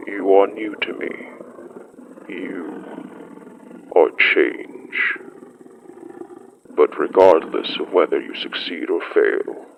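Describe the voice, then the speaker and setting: An actor playing an ancient, solemn and menacing spirit beast with a breathy, growling voice and slow, archaic phrasing in an audio drama. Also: low voice, lively intonation, highs cut off, slight background noise, heavily acted. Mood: solemn, ominous.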